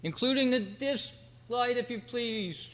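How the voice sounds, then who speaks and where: A man's voice: very high-pitched, measured pace, fairly bright tone, slight background noise. A man reading a scripted stage announcement in a formal manner. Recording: echoey hall with long reverb.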